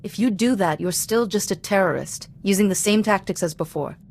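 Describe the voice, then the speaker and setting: Woman playing a brisk, composed Jedi adviser. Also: mid-range voice, a little mellow, a little hiss or hum, close to an everyday voice. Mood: composed, principled. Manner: composed, measured wording, advisory. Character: calm, principled female Jedi diplomat, gently admonishing mentor. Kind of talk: video game character dialogue.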